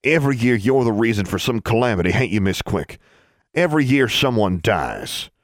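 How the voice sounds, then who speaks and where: A man's voice: on the low side, big pitch swings, moderate pace, no echo, studio quality, pitched above the performer's own voice. A man voicing a righteous, accusing teenage country boy in an audiobook, with a rural Southern US accent and preachy, old-fashioned dialect. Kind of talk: audiobook character dialogue.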